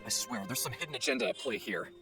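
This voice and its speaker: A man's voice: medium pitch, lively intonation, quick pace, music in the background, natural voice. A man playing a suspicious, gossiping townsperson in a video game, resentful and insistent.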